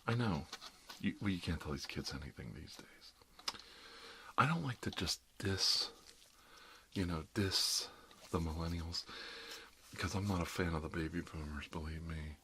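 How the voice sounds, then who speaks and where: A middle-aged man speaks in a low register, playing a chatty dentist in an ASMR roleplay. He rambles in a jokey, conversational way, often saying "you know". Light background noise sits behind his voice. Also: a little slow, sharp tone. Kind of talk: ASMR roleplay, rambling one-sided casual chat.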